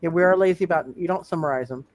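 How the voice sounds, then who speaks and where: A man hosting as game master in casual talk, halting and often repeating himself as he circles back. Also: fairly high pitch, slight background noise. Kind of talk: casual sign-off talk on live roleplay show.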